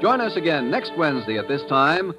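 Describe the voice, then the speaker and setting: A man's voice: on the high side, very animated, a little fast, music in the background. An American man reading scripted credits as a 1950s radio announcer.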